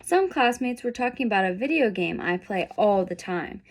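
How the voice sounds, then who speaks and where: A woman's voice: medium pitch, lively intonation, moderate pace, natural voice. A woman voicing a sensitive, misunderstood young boy zebra in a picture book, plaintive and earnest. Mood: earnest, troubled.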